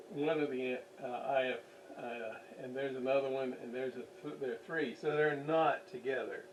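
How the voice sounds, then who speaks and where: A man giving an unscripted hands-on demonstration at a workbench, halting as he thinks aloud while he works. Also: medium pitch, a little mellow. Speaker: radio restoration hobbyist, demonstrator. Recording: distant mic with faint background noise.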